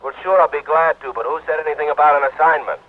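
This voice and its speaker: A man's voice: fairly high pitch, fast talker. An actor playing a genial, wheedling insurance office man with a stuffy cold, heard through a telephone filter in a 1950s radio drama. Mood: coaxing. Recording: tinny phone line, old radio.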